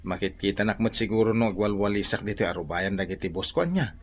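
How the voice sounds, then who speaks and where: A man playing an excitable, nervous, bumbling house guard in a comic radio drama, with a stammering, exclaiming delivery. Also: on the low side, fast talker, natural voice. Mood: curious.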